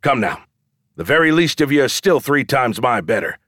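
A man performs a brash male showman from an audiobook, working a crowd for bets. He calls out loudly in a goading, declamatory way, with his pitch lifted. The language is coarse and the recording is dry. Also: very animated, moderate pace, no room sound. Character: brash, foul-mouthed male showman hustling wagers from a crowd. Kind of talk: audiobook character dialogue.

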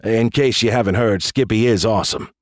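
A man's voice: fairly low pitch, fairly quick, no room sound, studio quality, lightly acted. Man voicing a smug, snarky artificial intelligence. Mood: boastful. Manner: smug, sarcastic, easily offended. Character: snarky, boastful, petulant male artificial intelligence. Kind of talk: audiobook character dialogue.

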